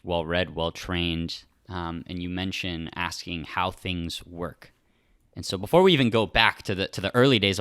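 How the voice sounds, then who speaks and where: Low, close-sounding young male interviewer. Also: a bit bright, dry recording. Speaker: interviewer, podcast host. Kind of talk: conversational interview questioning.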